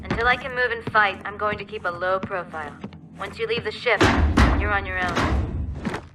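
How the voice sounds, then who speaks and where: A woman playing a brisk, authoritative, composed military commander in a video game cutscene. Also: medium pitch, loud music behind the voice, natural voice. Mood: commanding.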